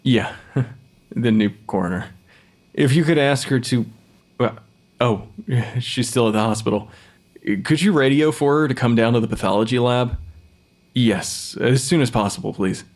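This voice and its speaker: A man's voice: mid-range voice, unhurried, close to an everyday voice. A man playing a clever, slightly awkward forensic pathologist with an analytical manner, acted naturally for an audio drama. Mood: brisk, businesslike. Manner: analytical, hesitant when cornered. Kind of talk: naturalistic audio fiction podcast acting.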